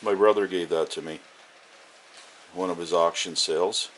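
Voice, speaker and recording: A man's voice: low voice, fairly even intonation, normal speed. A man giving a casual, unscripted show-and-tell as a radio hobbyist, plain-spoken and rambling, often correcting himself. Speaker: CB and ham radio hobbyist showing off a collection. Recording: very noisy home-video sound with hiss.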